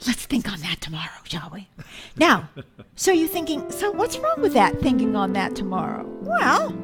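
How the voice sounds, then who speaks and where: A woman's voice: on the high side, huge pitch swings, moderate pace. A woman in her seventies hosting a show, talking to viewers in a rambling, self-mocking way full of joking asides. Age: 70s. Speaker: host. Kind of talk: casual monologue to viewers. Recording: loud music playing behind.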